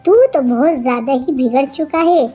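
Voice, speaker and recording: A woman's voice: high-pitched, moderate pace, dark tone, soft background music, lightly acted. A woman voicing a feisty female sparrow in a kids' cartoon, with an emphatic, singsong, scolding manner. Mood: angry, scolding. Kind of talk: Hindi kids' cartoon character voice.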